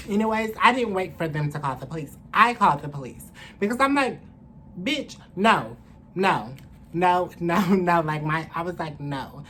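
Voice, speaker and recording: A woman's voice: lowish voice, expressive, takes it slowly, pauses often, a bit bright. A young adult woman telling a story casually to camera in a candid, slangy, profane way, recorded indoors at arm's length. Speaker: vlogger. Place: indoors, small room. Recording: clearly noisy room ambience.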